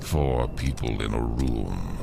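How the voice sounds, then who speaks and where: An actor narrating a horror audio drama as an ominous storyteller, gravelly, grave and measured. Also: male voice, rumbling low, very noisy, exaggerated voice.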